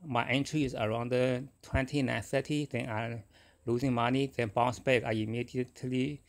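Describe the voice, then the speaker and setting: A middle-aged man whose first language is Chinese, talking casually and haltingly about his trading, with many fillers and restarts. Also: mid-range voice, fairly even intonation. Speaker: forex trader. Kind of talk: casual screen-recorded trading talk.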